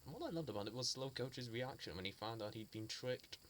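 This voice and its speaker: A man fondly recalling a children's show he loves, speaking in an earnest, halting way with frequent restarts and repeated words. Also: medium pitch, expressive, slight background noise. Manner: earnest and fond. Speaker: fan sharing memories. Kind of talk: casual spoken reminiscence.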